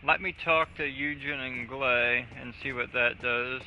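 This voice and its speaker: A man's voice: medium pitch, steady tone, a little slow, sharp tone, a little hiss or hum. A man chatting casually with a co-player as he streams a live game. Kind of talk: casual live gaming chat. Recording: dull lo-fi mic, faint music.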